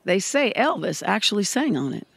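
A woman's voice: medium pitch, sing-song, moderate pace, vocal fry, breathy edge, no room sound, full character voice. An actress playing a sardonic woman bandmate in an audio drama. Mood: helpful.

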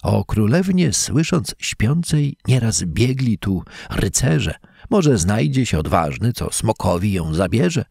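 A man reading a fairy tale in verse aloud, as a measured, dramatic storyteller. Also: fairly low pitch, big pitch swings, creaky, darkish tone, husky, no echo, studio quality. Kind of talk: expressive scripted fairy-tale narration in verse.

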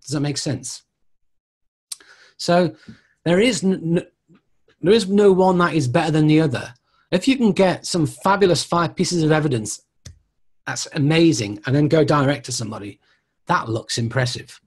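A middle-aged man teaching informally as a tutor, answering questions and explaining in an animated, conversational way. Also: fairly high pitch, normal speed, long pauses, slightly rough. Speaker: mediumship tutor.

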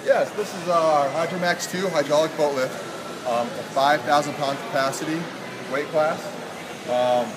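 A man's voice: a little high, normal speed. A young man explaining a product plainly, with many ums, at an indoor boat show. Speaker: sales representative. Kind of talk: product pitch in an interview. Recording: loud crowd and hall noise.

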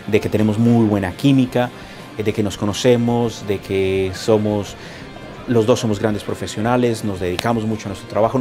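A man in his thirties with a Colombian accent, speaking in a measured, hesitant way in an interview in a locker room. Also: lowish voice. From Bogotá, Colombia. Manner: measured, hesitant with many fillers. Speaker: hockey color commentator. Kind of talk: interview answers.

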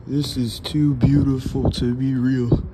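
Urban explorer, male, slow hushed commentary, phone mic, loud wind and traffic all around. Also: medium pitch, flat delivery. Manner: hushed, awed, swears often, slow and sparse. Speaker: urban explorer filming himself. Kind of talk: murmured running commentary while exploring. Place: large abandoned city building, indoors and on the roof. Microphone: phone camera held in hand.

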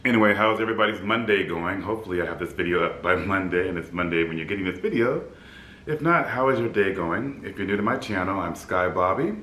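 A man in his fifties with a deep baritone voice, a bit scratchy in the throat, chatting upbeat to the camera in his apartment as a vlog host. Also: expressive, quick pace, sharp tone, roomy sound. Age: 50s. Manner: upbeat, chatty, laughs easily. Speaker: flight attendant vlogger. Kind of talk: casual vlog chat. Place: apartment room by a city window.

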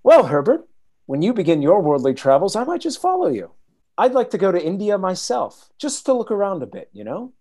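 A genial old father played by an actor in a radio play, full of curiosity. The delivery is hearty and chatty, with pitch swinging very widely up and down. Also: very animated, a little fast, mellow.

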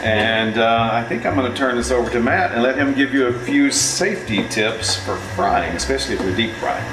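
A man in his fifties talks through a recipe in a chatty, joking way, filling gaps with casual fillers. He sounds set back from the microphone, and his voice rings off the hard walls of a commercial kitchen. Music plays clearly behind him. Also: medium pitch, brisk, distant mic. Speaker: cooking show host. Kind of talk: casual cooking demonstration. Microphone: far camera. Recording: roomy kitchen from off-mic.

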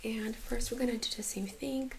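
A woman speaking in a soft, breathy near-whisper, gently giving instructions as a medical examiner in an ASMR role-play. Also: fairly high pitch, fairly even intonation, normal speed, slight background noise. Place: small room indoors.

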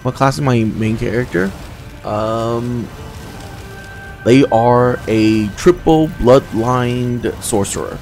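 A man's voice: mid-range voice, takes it slowly, darkish tone, faint music behind. A man casually commentating on a video game as he plays, chatty and reactive. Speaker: gameplay commentator.

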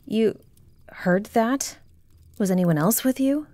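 A woman's voice: on the high side, expressive, takes it slowly, dark tone, no echo. A woman playing a proud, guarded noblewoman commander in a fantasy audio drama, speaking formally and haughtily. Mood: sharp, alarmed. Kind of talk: fantasy audio roleplay acting.

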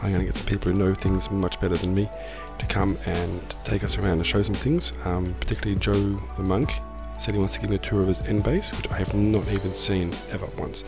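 A middle-aged man with a croaky voice, giving casual, easygoing gaming commentary as the host of a video. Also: deep voice, little pitch movement, fast, hardly any pauses, over music. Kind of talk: casual Minecraft let's-play commentary.

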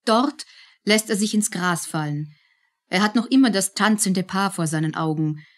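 A woman's voice: mid-range voice, moderate pace. A woman narrates a radio drama. She reads from a script, setting out each scene as the storyteller.

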